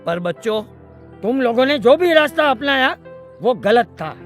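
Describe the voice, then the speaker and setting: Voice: mid-range voice, expressive, normal speed, close to an everyday voice. An actor voicing an authoritative old grandfather with a mock-solemn, preachy manner in a comedy cartoon. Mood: stern, preachy. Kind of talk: animated comedy cartoon dialogue. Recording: music behind the voice.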